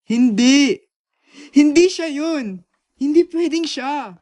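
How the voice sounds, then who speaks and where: A man's voice: very high voice, slow, heavy background noise. A man playing an earnest, protective and defiant young man in a radio drama. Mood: anguished.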